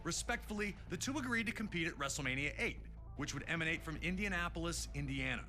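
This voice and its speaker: A man's voice: a little high, animated, fast talker, sharp tone. A man narrating a scripted sports documentary with dramatic, announcer-like storytelling. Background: music.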